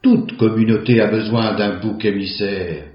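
A man's voice: a little slow, soft background music, lightly acted. An actor playing Philippe, a bigoted, sneering defence lawyer who rants to his wife. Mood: smug. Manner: lively, sneering, prejudiced ranting. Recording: echoey, dull low-fi.

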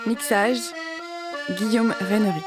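A woman reading the credits of a radio documentary in a calm, measured way. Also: medium pitch, loud music behind the voice. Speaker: credits narrator.

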